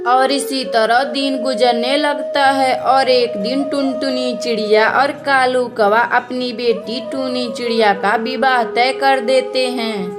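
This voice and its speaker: A woman's voice: high voice, steady tone, slow, hardly any pauses, music in the background. A woman narrating a children's cartoon story in a scripted storytelling style.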